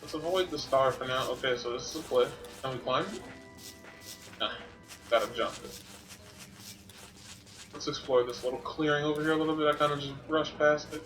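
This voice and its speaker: Male Let's Play streamer, bright forward voice, casual, thinking aloud, faint music underneath. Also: on the high side, brisk, pauses often, rough recording. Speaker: gaming streamer. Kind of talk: casual Let's Play commentary, sometimes reading game text.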